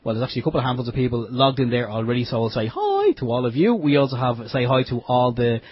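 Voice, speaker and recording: An Irish man co-hosting an internet radio show in a relaxed, joking way. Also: mid-range voice, quick pace, husky, dry recording. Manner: easygoing, joking, chuckling. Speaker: radio co-host. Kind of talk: casual radio chat. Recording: dull lo-fi home radio stream.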